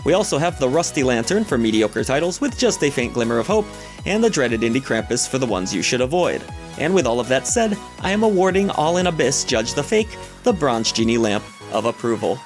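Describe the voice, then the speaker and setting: A man narrating a scripted video game review in a measured, lightly wry, opinionated way. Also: medium pitch, big pitch swings, faint music behind.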